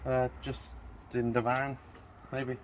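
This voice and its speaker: A man's voice: mid-range voice, monotone, takes it slowly. Man, matter-of-fact mechanic, teasing banter, faint background hiss. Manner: matter-of-fact, teasing, explains the technical details. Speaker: mechanic helping with the build. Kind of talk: casual workshop chat. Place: garage workshop.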